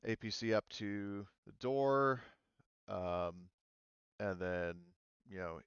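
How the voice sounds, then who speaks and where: A man running a tabletop roleplaying game as game master in casual talk, thinking aloud with many pauses. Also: lowish voice, clean tone, no room sound, highs cut off.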